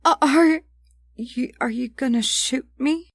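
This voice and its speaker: Female reader as anxious harmless woman, audiobook character, stammering, protesting, raised pitch, dry sound. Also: slow pace, dry recording, heavily acted. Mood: scared. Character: flustered, harmless, anxious woman held at gunpoint.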